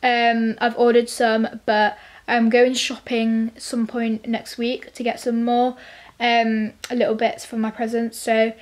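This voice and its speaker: Teen girl vlogger, croaky from a cold, a touch higher-pitched, chatty and rambling. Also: completely flat delivery, takes it slowly. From England. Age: teens. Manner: chatty, rambling with many fillers. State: croaky from illness. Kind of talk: casual vlog chat. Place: at home. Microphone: handheld phone, then camera.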